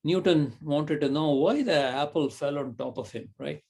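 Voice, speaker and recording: Mellow-voiced man giving a rambling lecture. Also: on the high side, normal speed, vocal fry, husky. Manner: rambling, thinks aloud with fillers and "right?" tags. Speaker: university lecturer. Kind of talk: recorded lecture.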